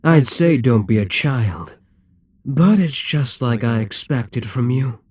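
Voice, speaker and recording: Demon King for audio roleplay, male actor: calculating, domineering immortal ruler, husky voice, close dry sound, condescending and deliberate. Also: mid-range voice, lively intonation, a little mellow, dry recording. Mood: calm, menacing. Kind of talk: ASMR audio roleplay, dramatic monologue.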